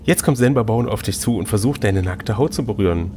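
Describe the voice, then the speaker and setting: A man narrates a gamebook as game master, slipping between storytelling and casual chat. His intonation swings widely, and there is clear noise behind him. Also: on the low side, animated, fairly quick, warm tone. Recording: noticeable background noise.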